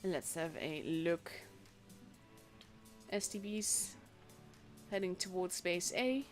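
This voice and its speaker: A woman's voice: lowish voice, animated, takes it slowly. A young woman with a Dutch accent, a native Dutch speaker, chatting casually while commentating a tournament on her live stream, rambling with fillers and restarts. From Netherlands. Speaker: esports caster and streamer. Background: faint stream music.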